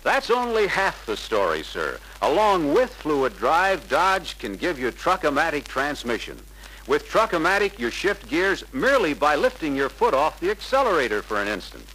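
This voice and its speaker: A man's voice: medium pitch, sharp tone. A man playing a polite, eager young truck salesman in a 1950s sales film, earnest and persuasive. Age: young adult. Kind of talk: 1950s sales pitch acting. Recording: noisy 1950s film soundtrack.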